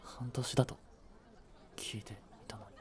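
A man playing a blunt, devoted young boyfriend in a romantic voice drama, with a breathy voice and heavy emotion. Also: lowish voice, steady tone, moderate pace, some chatter behind, natural voice. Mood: numb, hushed narration. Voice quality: breathy, slightly husky. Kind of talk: romantic Japanese voice drama acting.

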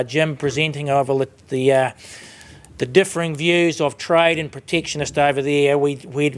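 A man in his fifties with a New Zealand accent gives an informal, conversational and rambling closing speech at a podium as an industry leader. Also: medium pitch. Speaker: industry leader giving closing conference address. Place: conference room with seated audience, indoors. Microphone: lectern microphones.

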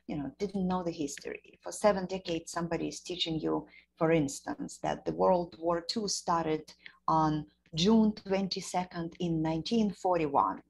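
A woman's voice: lowish voice, moderate pace, creaky, a little mellow, husky, rough recording. A woman in her forties from eastern Ukraine, a native Russian speaker with a Ukrainian accent, earnestly explaining her views over a video call with earphones. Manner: earnest, explanatory, with frequent restarts and "you know". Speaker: filmmaker and journalist guest. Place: indoors at home. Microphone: video call webcam with earphones.

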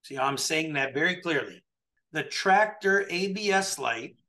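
Emphatic man coaching, bright voice. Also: a little high, lively intonation, a little slow. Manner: emphatic, keeps checking in with "right?". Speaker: trucking compliance coach. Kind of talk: coaching review over a video call. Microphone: webcam video call.

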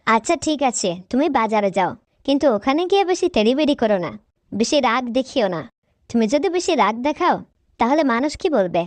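Teasing high-voiced village wife. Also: female voice, big pitch swings, fairly quick, dry recording. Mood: coaxing, teasing. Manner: coaxing, nagging. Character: teasing village wife of a hot-headed husband. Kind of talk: comic cartoon acting in Bengali.

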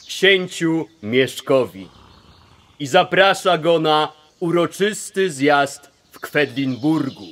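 Very slow, stately herald. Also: male voice, a little high, fairly bright tone. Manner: formal, stately. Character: ceremonious medieval herald or cleric. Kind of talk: children's radio play acting.

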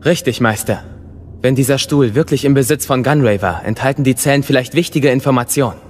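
A man's voice: medium pitch, a little fast, long reverb tail, a little hiss or hum, lightly acted. An actor playing an impatient, curious young male apprentice warrior in an audio drama, brusque and insistent.

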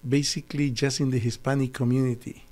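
A middle-aged man from El Salvador with a Spanish accent, telling his story as an interview guest in halting, earnest English in a small studio on a desk mic. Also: medium pitch, flat delivery, darkish tone. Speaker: guest, naturopathic doctor. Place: small studio with foam wall panels. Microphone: desk podcast mic.

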